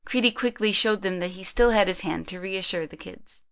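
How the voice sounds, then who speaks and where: A woman's voice: medium pitch, normal speed, vocal fry, a bit bright, smooth. A woman reading scripted third-person narration for an amateur audio drama.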